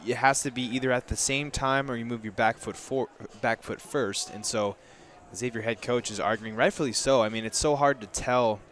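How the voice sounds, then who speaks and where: A man's voice: medium pitch, fairly even intonation, moderate pace, few pauses, a bit bright. A young American man giving informal, explanatory baseball analysis as a color commentator on a headset mic. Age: young adult. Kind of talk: conversational sports analysis.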